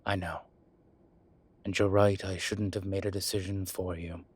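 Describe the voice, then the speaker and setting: Man playing a deep, husky demon professor. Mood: sincere, apologetic. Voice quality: husky, breathy low voice. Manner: slow, halting, measured delivery with sighs. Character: ancient male demon professor, gruff but contrite and caring. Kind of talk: intimate audio roleplay to one listener.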